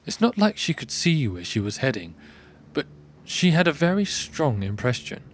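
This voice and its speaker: A man's voice: fairly high pitch, very animated, moderate pace, slight background noise. A man giving scripted narration for a fantasy audio drama, in the style of a storybook. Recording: music bed under voice.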